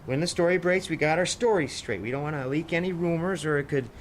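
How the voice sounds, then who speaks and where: A man's voice: a little high, fast talker, slight background noise, natural voice. Controlling American company boss played by a man. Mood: controlling, exasperated. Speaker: actor. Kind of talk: audio drama acting.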